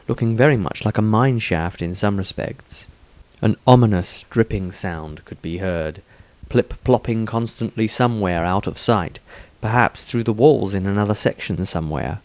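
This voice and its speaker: A man reading his own fantasy story as dramatic scripted narration, in a measured, suspenseful storytelling manner. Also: lowish voice, darkish tone, no echo.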